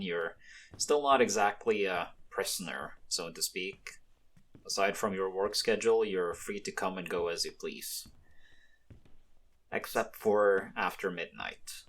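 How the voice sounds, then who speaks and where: A man acting in an ASMR roleplay as a mafia boss's son, a soft-spoken, hesitant and protective young man with gentle, measured delivery. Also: low voice, exaggerated voice.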